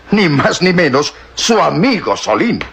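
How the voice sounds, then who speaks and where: A man's voice: on the high side, very animated, slow, gravelly, very noisy, heavily acted. An actor playing a stern male police inspector in an old radio drama, theatrically accusing. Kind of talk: 1960s radio drama acting.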